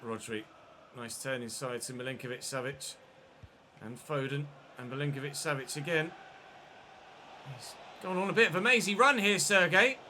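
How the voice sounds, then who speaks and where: Bright, high-voiced gaming commentator. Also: male voice, moderate pace, slight background noise. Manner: chatty, bursts into shouted excitement at goals. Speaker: gaming channel host. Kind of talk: football video game commentary.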